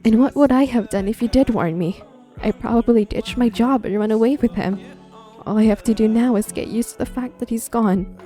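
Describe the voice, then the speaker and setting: A woman's voice: fairly high pitch, brisk, dark tone, faint music behind, close to an everyday voice. A woman playing a shaken, guarded young woman in a game roleplay, speaking candidly. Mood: guarded, numb. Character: guarded, shaken young woman who killed her boyfriend. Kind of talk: online game roleplay voice acting.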